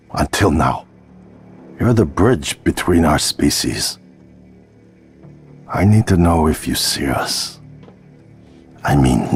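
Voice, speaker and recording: An actor playing a weary, grave lead scientist in a video game, speaking deliberately and earnestly. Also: male voice, a bass voice, exaggerated voice. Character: weary, grave male scientist leading an experiment. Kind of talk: video game cutscene dialogue.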